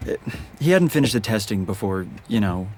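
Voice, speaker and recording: A man's voice: lowish voice, big pitch swings, brisk, a little mellow, a little hiss or hum. A man playing an awkward, sardonic, bookish teenage boy in a radio drama. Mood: hesitant. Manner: hesitant, nervous, sardonic.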